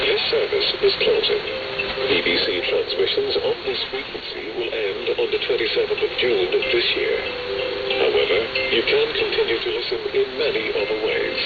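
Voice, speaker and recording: A man making a scripted public service announcement as a radio continuity announcer, heard over shortwave radio. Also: fairly low pitch. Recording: very noisy, dull, distant radio relay.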